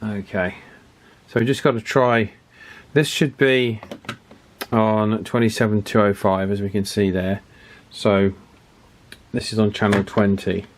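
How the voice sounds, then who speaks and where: A man's voice: lowish voice, steady tone, measured pace, long pauses, slight background noise. A man giving casual hands-on repair commentary, thinking aloud in a hesitant, methodical way and repeating himself as he works. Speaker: radio repair hobbyist presenting a video.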